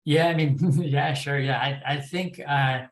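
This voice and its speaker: A man's voice: on the high side, fairly even intonation, a little slow. A man speaking casually as a panelist in a tentative, hedging way, in a roomy, echoing recording. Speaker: scripted development executive, panelist.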